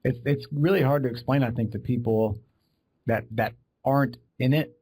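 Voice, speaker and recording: A man's voice: medium pitch, fairly even intonation, normal speed, darkish tone, breathy edge. A man hosting a podcast interview, chatting in an agreeable, conversational way and often repeating words. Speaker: podcast host. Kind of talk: casual interview talk.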